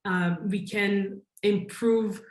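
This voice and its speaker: A woman from Congo speaks at a conference in a mid-range voice. She talks in a thoughtful, searching way, pausing with fillers and reworking her sentences. Her voice carries a noticeable room echo. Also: mid-range voice, fairly even intonation. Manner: thoughtful, with frequent restarts and fillers. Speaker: conference panelist. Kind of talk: conference talk.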